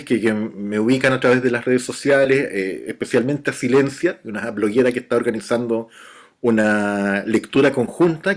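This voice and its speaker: A middle-aged man with a Chilean accent, a novelist being interviewed, talking in a relaxed, chatty way with frequent restarts. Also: medium pitch. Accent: Chilean Spanish. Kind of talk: casual interview talk.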